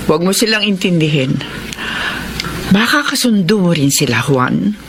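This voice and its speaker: A woman's voice: lowish voice, very animated, normal speed. A woman playing a firm, fair-minded mother in a Filipino radio drama, admonishing her children. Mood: reassuring. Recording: loud background noise.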